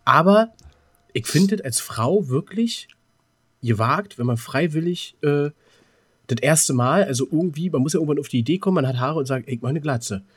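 A man's voice: fairly high pitch, expressive, moderate pace, husky, no echo, studio quality. A middle-aged German man in casual podcast banter as co-host, teasing and repeating himself as he looks for words.

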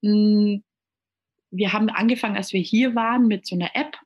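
Earnest woman with a husky voice. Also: mid-range voice, moderate pace, lo-fi sound. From Germany. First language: German and Italian. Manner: earnest, repeats words while searching for them. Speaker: interview guest. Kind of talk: casual conversational interview.

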